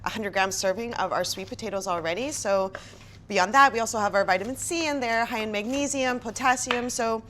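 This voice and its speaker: A woman's voice: fairly high pitch, fairly quick, pushed and bright, a little hiss or hum. Upbeat woman hosting a kitchen show. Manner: upbeat, chatty, asks guests questions. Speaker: host of cooking workshop. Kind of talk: casual hosted cooking show.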